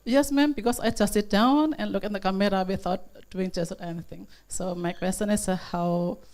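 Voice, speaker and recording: A woman teacher speaks from a seminar audience with an Indonesian accent. Her voice sits at a middle pitch, and she builds her question haltingly, repeating phrases as she goes. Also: a little mellow. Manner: halting, repetitive non-fluent English.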